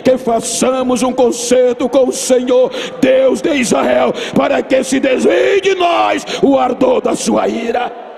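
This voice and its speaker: A man's voice: very high voice, slow pace, creaky, a little mellow, shouting, some chatter behind, a little hiss or hum. An older Brazilian man in his sixties preaching an emphatic, oratorical sermon at a podium microphone to a large congregation. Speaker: pastor preaching at a youth Bible seminar. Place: large church auditorium. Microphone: microphone on a podium stand. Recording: long hall reverb from PA system.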